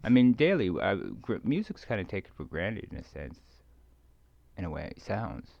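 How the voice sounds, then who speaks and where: A man rambling casually in a hesitant, halting, musing way, often trailing off as he thinks aloud. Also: on the low side, very animated, gravelly, darkish tone, no room sound. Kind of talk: rambling casual monologue, ASMR roleplay. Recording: dull lo-fi close dry recording.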